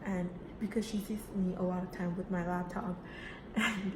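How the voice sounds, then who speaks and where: Young woman from the UAE, relaxed class talk, full of fillers, slightly low voice, recorded in a noisy echoing room. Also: moderate pace. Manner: casual, chatty, with frequent fillers like "right?" and "you know". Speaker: student giving a class speech. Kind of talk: personal self-introduction speech. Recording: echoey room with background noise.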